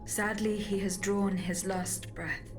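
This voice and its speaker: A woman's voice: mid-range voice, fairly even intonation, natural voice. Game role: formal, composed machine bodyguard, female actor, mid-range voice over music. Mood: solemn. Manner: measured, formal. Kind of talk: dramatic video game acting. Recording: music behind the voice.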